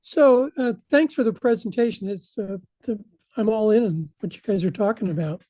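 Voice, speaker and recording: An older man asking a halting question on a video call, often repeating words as he goes. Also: high voice, warm tone, no echo. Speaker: audience member asking a question.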